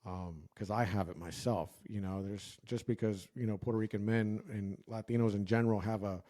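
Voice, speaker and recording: A man's voice: on the low side, steady tone, moderate pace, warm tone. A young man co-hosting a podcast, chatting casually and joking, with lots of fillers and restarts. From United States. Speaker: podcast co-host. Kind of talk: casual chat.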